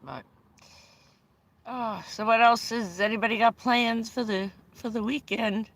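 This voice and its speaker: A woman's voice: a little high, a little slow, a bit bright. A middle-aged American woman hosting a livestream from inside a vehicle, chatting in a rambling way, laughing often and swearing casually. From United States. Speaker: livestream host.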